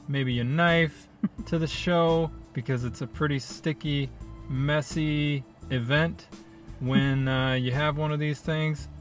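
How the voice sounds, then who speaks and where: A young man co-hosting a food vlog from a parked car, easygoing with dry little jokes. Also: a little high. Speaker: food vlogger co-host. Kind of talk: casual food review chat. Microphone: camera on dashboard.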